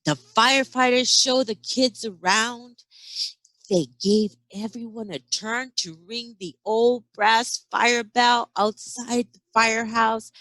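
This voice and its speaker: A woman reads a picture book to young children in a bright, fairly high voice. She takes her time and delivers it expressively, like a teacher, adding sound effects and chatty questions. The sound is close and dry. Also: steady tone, slow pace, dry recording, rough recording. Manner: acts out sound effects and exclamations. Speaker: early education teacher.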